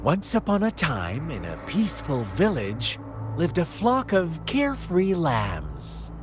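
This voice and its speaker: A sly, menacing game villain who talks like a storyteller savoring every word. His voice is fairly high for a man and swings dramatically up and down. He draws phrases out slowly and teasingly, over prominent music. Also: huge pitch swings, exaggerated voice. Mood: hushed storytelling. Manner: theatrical, drawn-out, teasing storyteller delivery. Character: theatrical, sly, menacing male villain who spins fables. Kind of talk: dramatic video game voice acting. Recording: music behind the voice.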